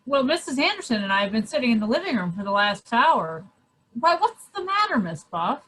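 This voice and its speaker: A woman's voice: a little high, sing-song, a little fast, fairly bright tone, low-quality recording. A woman playing a kindly, concerned male next-door neighbour in a radio drama, speaking earnestly and with sympathy. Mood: surprised concern.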